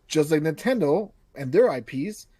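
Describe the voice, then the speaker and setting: Animated jokey man, lively pitch. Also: moderate pace, slightly rough, no echo. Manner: animated, jokey, puts on silly voices in anecdotes. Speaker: podcast co-host. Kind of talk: casual chat.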